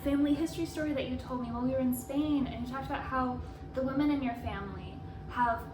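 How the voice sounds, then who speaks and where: A woman's voice: high voice, fast, reverberant, noisy background. Young woman interviewer, far from the mic. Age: 20s. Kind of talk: casual interview.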